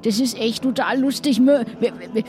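High-pitched voice of a woman role-playing. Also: moderate pace, a little mellow, faint music behind. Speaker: player in an improvised audio play. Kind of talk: casual improvised role-play.

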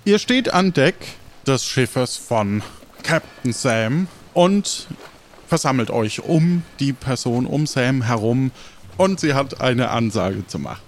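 A man's voice: medium pitch, expressive, takes it slowly, a little hiss or hum. A man hosting and narrating an improvised audio adventure game as its game master.

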